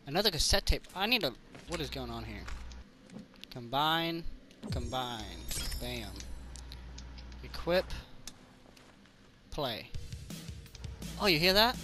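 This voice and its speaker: A young man chatting casually as he plays a co-op survival game, joking and slangy, with excitable delivery. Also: on the high side, slow pace, long pauses, soft background music. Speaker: gamer, video host. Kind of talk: casual chat while playing a co-op survival game.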